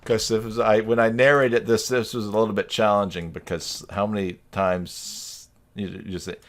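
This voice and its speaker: A man's voice: fairly low pitch, flat delivery, moderate pace. A man hosting a casual video call chat, joking and teasing as he talks. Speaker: live stream host.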